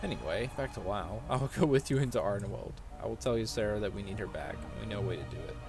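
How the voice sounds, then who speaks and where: A man's voice: on the low side, expressive, fast talker, music in the background. A man streaming a game, chatting in a casual, rambling, sweary way.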